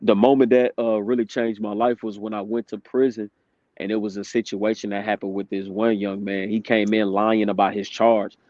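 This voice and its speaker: A man's voice: lowish voice, flat delivery, normal speed, darkish tone, no echo. A man in his twenties giving candid, casual testimony as a guest, laughing between points. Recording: dry dull lo-fi sound.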